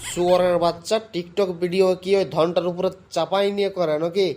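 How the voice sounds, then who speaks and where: A man's voice: sits high, level tone, fairly quick, very noisy, close to an everyday voice. A man voicing an angry, threatening father in an amateur comedy cartoon, scolding in a crude, blustering way. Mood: furious. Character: angry, threatening father chasing his wayward son.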